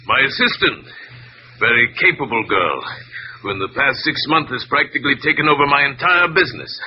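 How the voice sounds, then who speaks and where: A man's voice: medium pitch, animated, a little fast, natural voice. An actor playing a huge, demanding, worried businessman in an old radio drama, speaking in an imperious, irritable, formal way. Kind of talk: 1940s-50s American radio drama acting. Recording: dull, noisy vintage radio recording.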